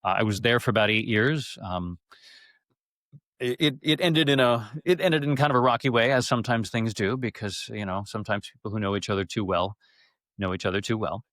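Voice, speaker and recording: A man's voice: lowish voice, brisk, a bit bright, no echo, clean studio sound. A middle-aged man telling stories casually as an interview guest, in a rambling, effusive way. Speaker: interview guest, stage actor. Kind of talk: casual conversational storytelling.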